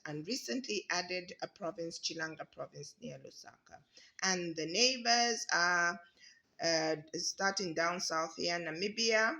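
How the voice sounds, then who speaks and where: A woman from Zambia with a Zambian accent, reading a children's picture book aloud with lively emphasis and the odd hesitant filler. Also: medium pitch, gravelly. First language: Zambian language. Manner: animated, stretching words for emphasis, with hesitant fillers. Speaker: storybook reader.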